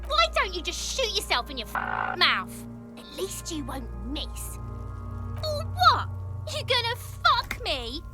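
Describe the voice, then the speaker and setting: Piercing high foul-mouthed street brat, wildly swooping voice, jeering, game dialogue over music. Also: female voice, huge pitch swings, slow, exaggerated voice. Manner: shrill, jeering, swearing. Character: foul-mouthed taunting boy in a teen gang. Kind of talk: video game voice acting.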